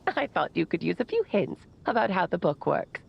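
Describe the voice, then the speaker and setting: Theatrical, teasing mage on a recording. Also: female voice, lowish voice, sing-song, normal speed, vocal fry, slightly rough, dull audio, exaggerated voice. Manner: theatrical, teasing and sing-song. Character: playful, eccentric adult woman mage leaving a recorded message. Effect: recorded message.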